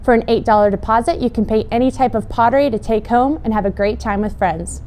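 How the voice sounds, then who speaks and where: A young woman with a fairly high voice presents on a student newscast. She reads scripted announcements in a presenter's style. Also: fast, darkish tone. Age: young adult.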